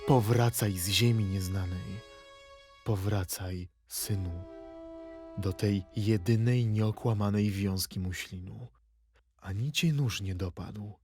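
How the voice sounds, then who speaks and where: Slow dramatic poet guy, wistful, reciting like in a radio play. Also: fairly low pitch, soft background music. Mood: solemn pleading. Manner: slow, dramatic, dropping at times to hushed tones. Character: poet reciting his childhood memories and dark verse, wistful.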